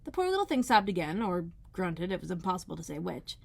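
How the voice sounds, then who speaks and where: Female storybook narrator for children: animated, careful delivery, noticeable vocal fry. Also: fairly low pitch, fast. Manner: careful, expressive reading. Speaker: school librarian reading aloud.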